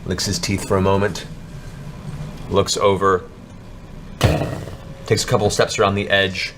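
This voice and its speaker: Low-voiced man narrating fantasy, noisy background. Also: little pitch movement, measured pace. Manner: dramatic, descriptive storytelling. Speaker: game master. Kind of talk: narrating a tabletop fantasy game.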